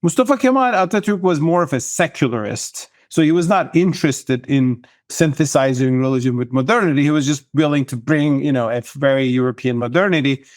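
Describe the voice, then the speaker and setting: A middle-aged man from Turkey, a guest author, answering interview questions in a measured, thoughtful way. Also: on the high side, dry recording, studio quality.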